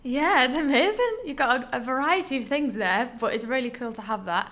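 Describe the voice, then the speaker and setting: A woman's voice: high-pitched, animated, a bit bright. A woman hosting a podcast, talking casually and replying to her guest with short, encouraging remarks. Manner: encouraging, quick short replies. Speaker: podcast host.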